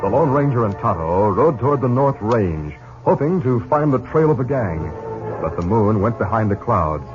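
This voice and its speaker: An actor narrating a 1950s radio western in a dramatic, formal announcer's delivery. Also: male voice, fairly low pitch, very animated, moderate pace, music in the background. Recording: dull 1950s radio recording.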